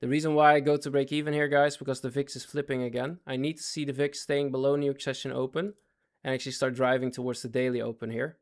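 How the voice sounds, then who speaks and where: A man's voice: medium pitch, flat delivery, quick pace. Man streaming live trades, losing his voice. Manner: talks in fits and starts, repeats himself, asks chat to follow. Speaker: day trading livestreamer. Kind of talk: live trading commentary to chat.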